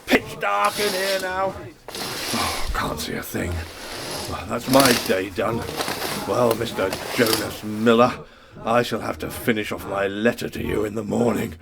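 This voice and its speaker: A man's voice: lowish voice, animated, measured pace, gravelly, a crowd in the background, voice pushed down. A man playing a weary, exasperated wartime ministry clerk who mutters and grumbles to himself in a radio play. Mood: weary grumbling.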